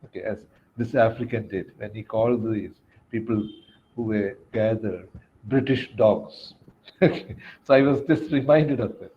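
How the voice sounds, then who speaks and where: A man, chatting as an interviewer in a halting, digressive way. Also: lowish voice. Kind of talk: casual conversation, anecdote telling. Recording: dull lo-fi call with background noise.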